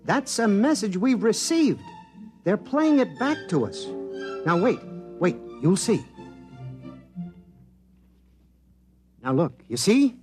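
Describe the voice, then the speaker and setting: An actor playing an eager, absent-minded government scientist in a 1950s radio drama, explaining things in an animated way. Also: male voice, sits high, unhurried, over music. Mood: excited.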